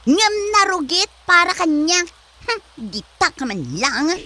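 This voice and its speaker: A woman's voice: very high voice, very animated, unhurried, bright, forceful tone, exaggerated voice. A woman playing a haughty, ambitious young woman in an Ilocano comedy drama, sassy and disdainful, with an Ilocano accent. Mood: disgusted.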